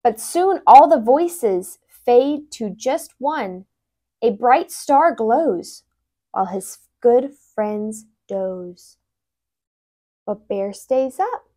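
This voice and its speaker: A young woman reads a children's picture book aloud in an expressive, animated way, wearing a lapel mic indoors. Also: a little high, drawn-out words. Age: young adult. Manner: animated, with brief asides explaining words.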